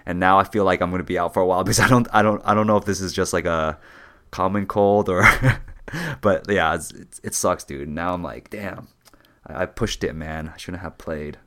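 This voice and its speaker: A young man with a low voice that is a little raspy from a cold. He co-hosts a podcast, chatting in an easygoing way and laughing often. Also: fairly quick, fairly bright tone. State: sick with a cold, slightly raspy. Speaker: podcast co-host. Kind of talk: casual chat.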